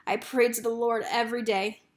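A woman's voice: on the high side, flat delivery, a little fast, close to an everyday voice. A woman voicing a loving, devout mother from a storybook, with tender, folksy rural speech. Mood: joyful, devout.

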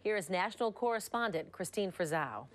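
A woman's voice: mid-range voice, animated, fairly quick. A woman with an American accent reading a scripted news introduction as an anchor.

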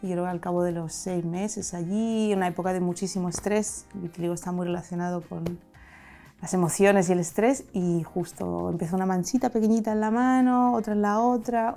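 Soft-toned woman from Madrid reflecting. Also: medium pitch. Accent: Castilian Spanish. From Madrid, Spain. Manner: reflective, open, gesturing with her hands. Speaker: interviewee. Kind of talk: candid personal testimony. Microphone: lapel mic.

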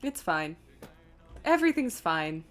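A woman's voice: lowish voice, very animated, unhurried. Casual woman streamer over game music. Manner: self-deprecating, rambling, thinking aloud. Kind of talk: casual live gameplay commentary, reading chat aloud.

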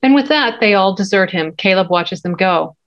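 A woman's voice: mid-range voice, animated, moderate pace, fairly bright tone, highs cut off. A middle-aged woman reading stage directions as scripted narration at a table read. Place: home office, indoors.

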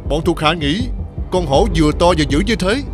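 An actor playing a timid, deferential male soldier reporting to his superior in an animated fairy tale. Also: fairly high pitch, fairly quick, close to an everyday voice. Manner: deferential, pleading. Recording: music clearly behind the voice.

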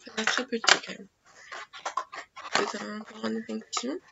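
A woman's voice: a little high, flat delivery, moderate pace, vocal fry. Chatty teenage girl on a webcam. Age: teens. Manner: chatty, many fillers and restarts. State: stuffy nose from a cold. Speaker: beauty vlogger. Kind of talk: casual daily unboxing vlog. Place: small attic bedroom. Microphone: webcam built-in mic. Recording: dull lo-fi webcam audio with faint noise.

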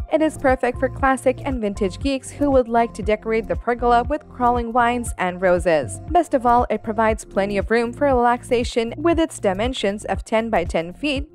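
A woman narrating a scripted product review in an even, listing cadence. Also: a little high. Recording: voice-over with faint background music.

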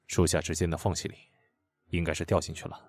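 A man playing a deep, aloof, terse swordsman. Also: fast talker, dry recording, studio quality. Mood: cold. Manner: curt, flat, few words.

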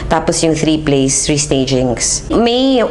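Low-voiced middle-aged Filipino woman. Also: big pitch swings, a little mellow, slight background noise. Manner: measured, switches between Tagalog and English. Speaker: interviewee, theatre festival organizer. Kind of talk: on-camera interview, explanatory talk. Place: indoor exhibit room.

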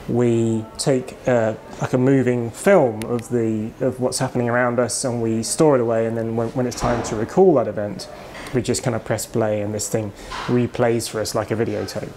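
British man, 40s, author interviewee, considered explanations, soft room noise. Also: medium pitch. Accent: British English. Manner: thoughtful, explanatory, with restarts. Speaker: author and psychologist. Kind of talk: interview answers. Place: kitchen shop, indoors.

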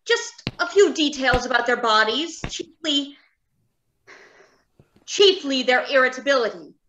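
Woman playing a high-pitched, animated, earnest scientist. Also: a little slow, a little hiss or hum, full character voice, rough recording. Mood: confessing. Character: defiant, guilt-ridden scientist, earnest and dramatic. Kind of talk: old-time radio drama acting.

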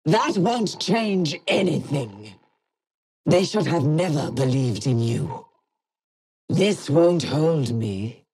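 Menacing female game villain, low-pitched, lively swoops, contemptuous combat taunts. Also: husky, full character voice. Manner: slow, contemptuous, coldly threatening. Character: menacing helmeted female villain, cold imperial Jedi hunter.

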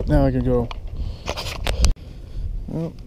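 A man's voice: mid-range voice, fairly even intonation, slow pace, lo-fi sound. A man giving casual running commentary while fishing, muttering and cursing mildly at the fish, recorded outdoors on a head-mounted camera. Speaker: fishing vlogger. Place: outdoors on a creek and lake bank. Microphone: head-mounted action camera. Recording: outdoor wind and water noise.

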